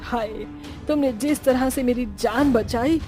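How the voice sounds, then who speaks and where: A woman's voice: high voice, a little slow, mellow, soft background music. A woman voicing a young, lovestruck and bossy witch bride in a horror-comedy cartoon. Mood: devoted, pleading.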